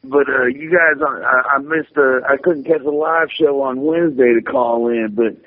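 A man's voice: medium pitch, moderate pace, no room sound. A man calling in to a radio show, friendly and loose with lots of fillers. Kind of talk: casual phone call-in. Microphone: phone. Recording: phone line.

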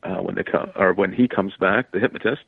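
Man, lowish voice, thin phone-quality audio, casual storytelling, interview guest. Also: fairly even intonation, fairly quick, gravelly, husky, dry recording. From Canada. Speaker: interview guest, stage entertainer. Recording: tinny phone-like line, dry.

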